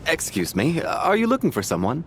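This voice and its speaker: A man's voice: on the high side, huge pitch swings, brisk, fairly bright tone, slight background noise, exaggerated voice. An actor voicing a polite, apologetic male theater staff member in a game cutscene.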